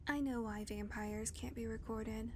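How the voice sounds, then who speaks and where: Gal doing a sarcastic potty-mouthed lady vampire, kinda high voice, slips into quiet murmurs, faint hiss behind. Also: steady tone, a little slow. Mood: musing. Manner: blunt and sarcastic, swears often, drops into soft murmurs. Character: sardonic foul-mouthed female vampire, blunt and wry. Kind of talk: audio roleplay to a listener.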